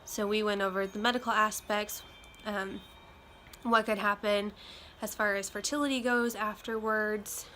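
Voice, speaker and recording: A woman's voice: medium pitch, steady tone, measured pace, pushed and bright, low-quality recording. Young woman, from Iowa, slightly nasal, casual rambling talk, lots of ums, home room, faint traffic behind. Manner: chatty, many ums, loose and rambling. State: slightly nasal from a chest cold. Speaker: vlogger. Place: home room with windows open. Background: faint road traffic and wind chimes.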